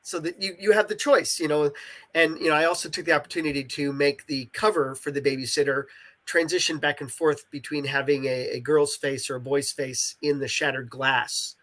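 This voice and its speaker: Man casually explaining, fairly high-pitched voice. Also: fairly even intonation, fairly quick, pushed and bright. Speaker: interview guest, game creator.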